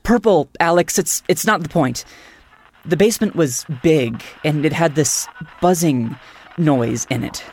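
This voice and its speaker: A woman, a podcast producer, telling a scripted comic story in a halting, stammering, insistent way. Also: low-pitched, animated, a little mellow, slightly rough.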